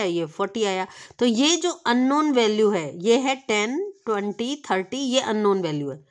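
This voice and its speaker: A woman teaches a maths lesson, patient and methodical, checking in with the listener often. Her voice sits fairly high for a woman. She slows right down when she reads out the problem text. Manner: patient, explaining with checks like "theek hai". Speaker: math tutor.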